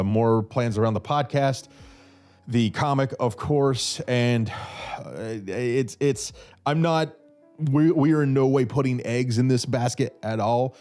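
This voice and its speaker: A man's voice: mid-range voice, darkish tone. A man in his thirties from North Carolina hosting a podcast, answering listener questions in a casual, rambling way with lots of fillers, a little stuffy from a cold. He talks into a studio mic. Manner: rambling, many fillers and restarts. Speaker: podcast host. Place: small room with brick wall, seated in armchair. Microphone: red studio mic on boom arm.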